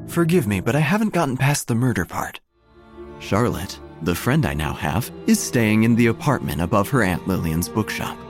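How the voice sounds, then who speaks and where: A man playing a vain, droll small-town obituary writer, narrating an audio drama in a pompous deadpan over music. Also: on the low side, moderate pace. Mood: droll, grandiose.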